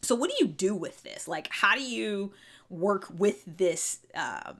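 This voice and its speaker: A woman's voice: medium pitch, animated, unhurried, fairly bright tone. Chatty woman explaining personality types. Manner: chatty, frequent fillers and restarts. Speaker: podcast host. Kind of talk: casual explanatory monologue.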